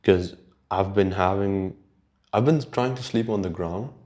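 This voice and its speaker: Slow, low-voiced man with Urdu accent. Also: mellow. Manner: unhurried, halting, sweary. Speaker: podcast host. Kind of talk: casual rambling solo podcast chat.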